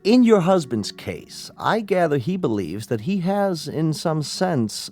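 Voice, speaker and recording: An actor in a radio drama playing a gravely polite family doctor who speaks in a deliberate, professorial way. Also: male voice, fairly high pitch, sing-song, a little mellow, faint music behind. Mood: grave, explanatory.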